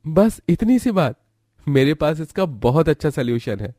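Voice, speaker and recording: Guy doing a nice young hubby for a cartoon, reassuring, raised pitch, lively delivery. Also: normal speed, no echo, clean studio sound. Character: caring, reassuring young husband.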